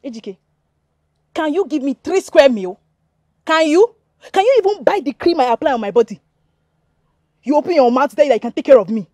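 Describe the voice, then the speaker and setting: A woman's voice: high voice, animated, a little fast, pauses often, a little mellow, no room sound, clean studio sound. A woman acting a money-minded, scornful young village woman in a film drama, scolding and dismissive, with a Nigerian Igbo accent. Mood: scornful, exasperated. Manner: scolding, dismissive, repeats herself. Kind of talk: Nollywood-style film acting.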